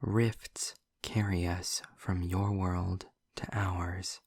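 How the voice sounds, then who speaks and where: Man acting snarky demon, audio roleplay, deep gravelly voice, dry close sound, testy, articulate, deliberate pauses. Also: level tone, a little mellow, no echo, full character voice. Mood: measured, intent. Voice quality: gravelly, husky, breathy. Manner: wry, formal wording, deliberate pauses. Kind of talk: scripted audio roleplay monologue addressed to the listener.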